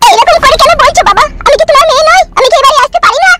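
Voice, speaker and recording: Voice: very high-pitched, animated, rapid-fire, over loud music. A performer voicing a loyal, pleading young daughter in a cartoon. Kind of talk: comic Bengali cartoon dubbing.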